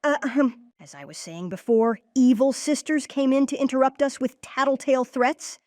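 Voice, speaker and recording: A woman's voice: high-pitched, normal speed, a little mellow, dry recording, clean studio sound, close to an everyday voice. A woman voicing an earnest child leader who takes charge, in a cartoon-style comic dub. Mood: reassuring. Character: earnest kid leader, Max, organizing friends. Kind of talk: comic dub character acting.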